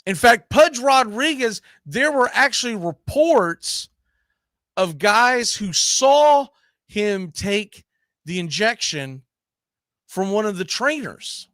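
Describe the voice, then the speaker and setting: A man with a high, forceful voice talks sports casually as a show host. He is argumentative and emphatic, leaning on pointed rhetorical questions. The sound is close and dry, with very little room in it. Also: very animated, slow, no echo. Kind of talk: casual opinionated sports discussion.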